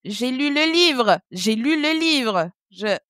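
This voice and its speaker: A woman in her thirties from French Guiana, a podcast guest telling stories in a lively way, laughing and acting out what others said. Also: sits high, slow, no room sound, studio quality. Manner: lively, laughing, acts out other people's remarks. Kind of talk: casual interview storytelling.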